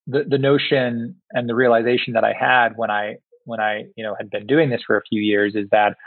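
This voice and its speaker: Man from Singapore, low flat voice, halting speech with repeated words, tinny phone-line sound, dry. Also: no echo. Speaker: company founder, guest. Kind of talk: conversational interview answers.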